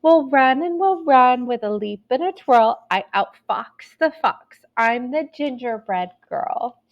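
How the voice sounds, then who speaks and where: A woman's voice: high-pitched, lively intonation, slow pace, lo-fi sound, pitched above the performer's own voice, heavily acted. A woman voicing a plucky gingerbread girl cookie in a storybook, with teasing, sing-song rhymes. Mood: triumphant. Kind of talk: storybook read-aloud.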